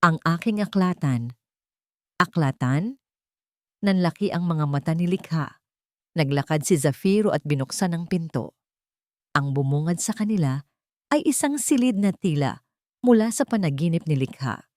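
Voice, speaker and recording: A woman narrates a dramatic scripted story. Her voice sits on the low side, and the recording is very dry with no room echo. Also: lively intonation, normal speed, warm tone.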